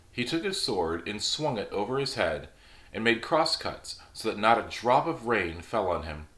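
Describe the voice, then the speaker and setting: Low-voiced man reading a fairy tale, echoey. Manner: relaxed, chatty, reads aloud with small slips and laughs. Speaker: storyteller host. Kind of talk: casual storytelling reading.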